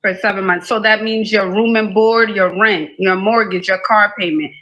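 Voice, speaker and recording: A woman's voice: medium pitch, fairly even intonation, normal speed, highs cut off. A woman hosting a live call-in dating show, asking a caller pointed, probing questions.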